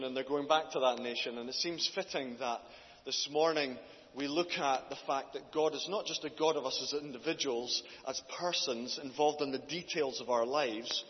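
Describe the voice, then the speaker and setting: A man, a guest preacher speaking to a church congregation and reading scripture aloud, in a loud, earnest voice. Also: medium pitch. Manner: loud, earnest, builds in force when reading. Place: church hall. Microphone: handheld mic. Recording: dull distant hall recording.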